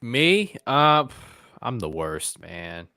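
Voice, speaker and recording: A man's voice: medium pitch, big pitch swings, drawn-out words, bright, forceful tone, no room sound. A man hosting a casual chat show, talking informally and circling around his point.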